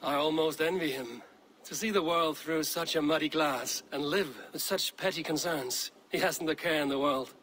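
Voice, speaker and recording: A commanding Viking chief, voiced by a man, somewhat high and forceful. He speaks firmly and with measured authority, as a game hero who expects to be obeyed. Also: a little hiss or hum, lightly acted, poor audio. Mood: grave, resolute. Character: stern, commanding Viking clan leader passing judgment. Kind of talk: dramatic video game acting.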